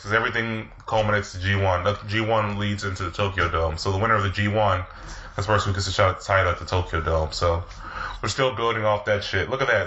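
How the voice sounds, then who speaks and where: A man with a fairly low, forceful, bright voice talks casually and swears freely. He recounts the action with enthusiasm. The audio sounds dull and lo-fi. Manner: profane, enthusiastic play-by-play recounting. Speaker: podcast co-host. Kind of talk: casual chat recapping wrestling.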